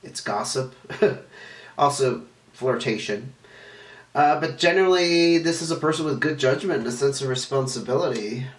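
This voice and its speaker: A man's voice: medium pitch, moderate pace. A man with a stuffy, nasal voice from a cold, chatting casually through a tarot card reading and wandering as he talks. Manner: meandering, chatty, self-correcting. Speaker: tarot reader. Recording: echoey room, distant mic, faint noise.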